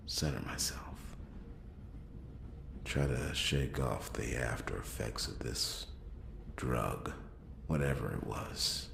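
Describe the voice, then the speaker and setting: A man playing a weary hardboiled detective, narrating a noir ASMR roleplay in a hushed, breathy, halting, brooding half-whisper. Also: very deep voice, noisy background, heavily acted. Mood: groggy, uneasy. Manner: hushed, halting, brooding delivery with long pauses. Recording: roomy echo under clear music.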